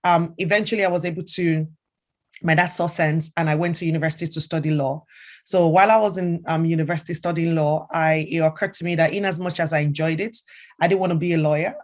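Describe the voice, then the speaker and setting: A woman's voice: low voice, fairly even intonation, fast talker. Chatty Nigerian woman, many restarts. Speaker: senior strategic advisor. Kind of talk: career talk to students.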